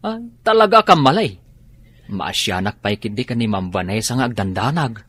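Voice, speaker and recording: A man's voice: medium pitch, big pitch swings, moderate pace, a bit bright, slightly rough, no room sound. A man with an Ilocano accent playing a gossiping household helper in a radio drama.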